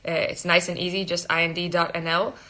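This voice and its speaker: Female live Q&A host, American accent with Dutch colouring; bright, slightly low-set voice, informal, explanatory, hesitant delivery. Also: level tone, a little fast. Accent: American with Dutch tinge. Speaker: recruitment agency staff hosting a live Q&A.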